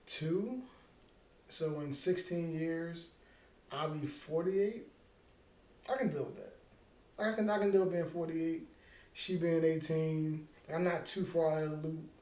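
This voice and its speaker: A man's voice: on the high side, measured pace, long pauses, dark tone. A man in his thirties co-hosting a podcast, chatting casually in a laid-back, joking way with frequent swearing. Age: 30s. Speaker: podcast co-host. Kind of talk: casual chat. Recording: echoey room with faint ambient noise.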